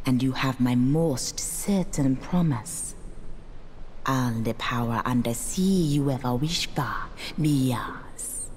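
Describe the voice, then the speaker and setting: Very deep, whispery sea goddess. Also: female voice, slow, darkish tone, a little hiss or hum. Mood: ominous, coaxing. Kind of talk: dramatic video game acting.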